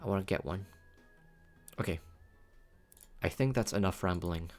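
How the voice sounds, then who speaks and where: A man rambles casually to his livestream chat. He is playful and cutesy, often repeating his phrases, with music playing clearly behind him. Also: low-pitched, normal speed, darkish tone. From Philippines. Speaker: VTuber livestreamer.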